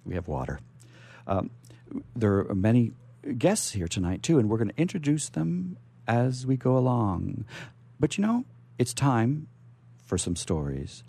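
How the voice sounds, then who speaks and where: A man's voice: medium pitch, big pitch swings, measured pace, gravelly, dark tone, breathy edge. A man hosting a radio show, chatting and introducing guests in an effusive, theatrical way. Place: radio studio.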